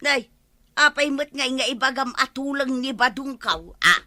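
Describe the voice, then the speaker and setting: An actress with an Ilocano accent playing an excitable, nagging village woman in a radio comedy drama. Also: sits high, moderate pace. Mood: bickering.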